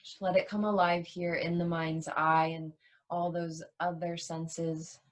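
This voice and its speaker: Slow, even-toned woman guiding meditation. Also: lowish voice. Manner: soothing, unhurried, with long pauses between short phrases. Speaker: yoga nidra meditation teacher. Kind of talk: guided meditation.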